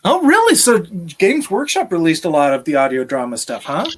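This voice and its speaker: A man's voice: fairly high pitch, huge pitch swings, normal speed. A man in his thirties hosting a casual live stream from home in a jovial, chatty way. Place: small room at home, video call.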